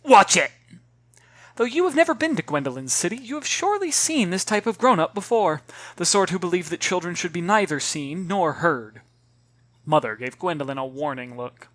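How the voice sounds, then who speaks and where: An author narrating a children's novel in an expressive, theatrical way, addressing listeners directly. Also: medium pitch, fairly quick, no echo.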